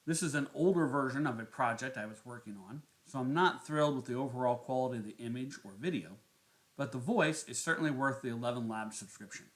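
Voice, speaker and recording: A man narrating a step-by-step software tutorial as its host. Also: medium pitch, quick pace.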